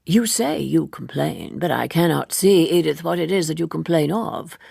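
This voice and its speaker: A woman voicing a polite, self-possessed, evasive gentleman in an audiobook, with a measured, formal and courteous manner. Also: sits low, gravelly, slightly rough, no room sound, clean studio sound, heavily acted. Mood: cool, restrained. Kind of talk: audiobook character dialogue.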